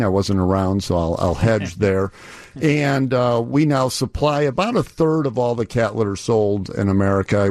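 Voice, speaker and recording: A man's voice: medium pitch, a little mellow, no echo. Earnest middle-aged man, dry studio sound. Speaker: company chief executive, radio guest. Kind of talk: conversational promotional interview.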